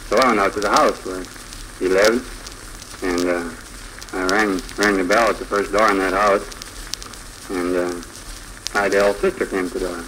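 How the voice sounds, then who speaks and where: A man in his thirties recounts things plainly and haltingly as a guest on an old radio show. His voice is fairly low but forceful and bright, over faint 1940s recording noise. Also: steady tone, low-quality recording. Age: 30s. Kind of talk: unscripted personal storytelling in interview.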